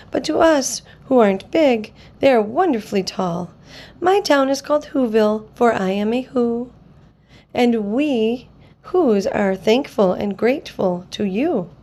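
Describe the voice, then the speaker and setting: A woman voicing a tiny, cheerful male mayor from a storybook, in a high, piping, eager voice. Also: animated, a little slow, warm tone.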